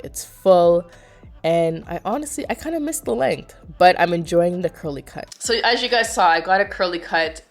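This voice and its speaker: Low-voiced woman, chatty vlog, slightly nasal. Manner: chatty, explanatory, addresses viewers as you guys. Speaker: beauty vlogger. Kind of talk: casual vlog voice-over.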